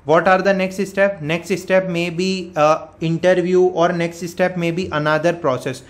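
A man's voice: high-pitched, fairly even intonation, unhurried. A man with an Indian accent giving an informal explanatory update as a career coach, repeating his points step by step. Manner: repetitive, step-by-step explaining with frequent check words. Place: voice-over on a screen recording.

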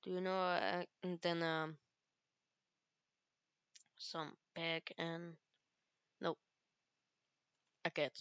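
Casting note: teen girl, low and unhurried, halting English, smooth dry close-mic sound. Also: little pitch movement, a bit bright, no echo, lo-fi sound. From Belgium. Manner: halting, searches for English words. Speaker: gaming youtuber. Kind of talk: casual gameplay commentary.

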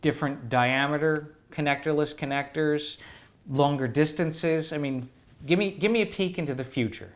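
A man's voice: a little high, normal speed. A man in his forties hosting an interview and asking questions with an upbeat, enthusiastic manner. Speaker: host. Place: living room set.

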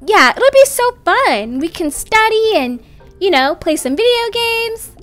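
A cheeky schoolboy, played by a young woman with a very high voice. He is pushy and slangy, laying on fake charm in a game roleplay over music. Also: sing-song, a little slow, fairly bright tone, heavily acted. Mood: cheeky, scheming. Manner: slangy, insistent.

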